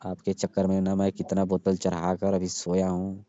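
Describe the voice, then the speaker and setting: Deep, very dark-voiced pleading young man. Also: completely flat delivery, normal speed, no echo. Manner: pleading, insistent. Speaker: caller, jilted lover. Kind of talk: casual emotional phone conversation. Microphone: phone call. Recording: dull lo-fi phone call recording.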